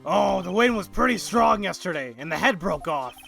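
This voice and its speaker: A man's voice: sits high, expressive, moderate pace, vocal fry, a bit bright, full character voice. A man voicing a matter-of-fact, explanatory character in a video game. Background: music.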